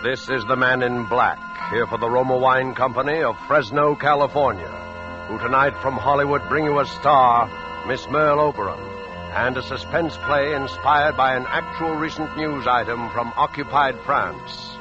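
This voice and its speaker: A man's voice: medium pitch, normal speed. A man introducing a 1940s radio drama as its host, formal and dramatic, on a dull old recording with music behind. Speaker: series narrator and host.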